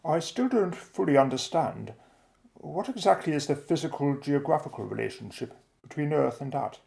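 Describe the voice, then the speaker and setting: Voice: mid-range voice, animated, normal speed, gravelly, a little mellow. An actor playing a puzzled, polite, inquisitive adventurer in a radio play, speaking in a hesitant, questioning way.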